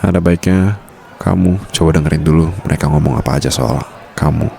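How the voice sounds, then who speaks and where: A man's voice: a bass voice, brisk, creaky, mellow, full character voice. A man playing a suave, protective mafia boss boyfriend in an intimate, teasing ASMR roleplay. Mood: teasing, calm.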